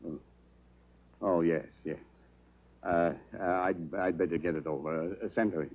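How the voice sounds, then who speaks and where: An actor playing an anxious, evasive doctor in a 1940s radio drama, stammering and hesitant in a flustered way. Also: male voice, low-pitched, steady tone, unhurried, a little hiss or hum. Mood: flustered, pleading. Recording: narrow-band 1940s radio recording.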